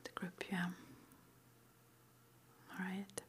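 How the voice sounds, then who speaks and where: A woman whispering an ASMR roleplay interview, playing a witch school interviewer with gentle, reassuring, hesitant phrasing. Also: lowish voice, completely flat delivery, drawn-out words, slight background noise.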